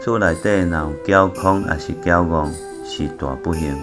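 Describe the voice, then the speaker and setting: A man's voice: low voice, normal speed, music in the background. A man with a Taiwanese accent giving a reflective commentary on a story he has read, as a guest reviewer.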